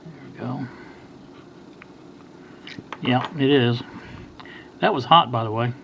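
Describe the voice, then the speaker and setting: A man's voice: mid-range voice, slow, lo-fi sound. A man in his sixties giving a casual, unscripted how-to talk in a hesitant, rambling way, as a hobbyist repair host. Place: kitchen at home. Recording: clear background noise, amateur home video.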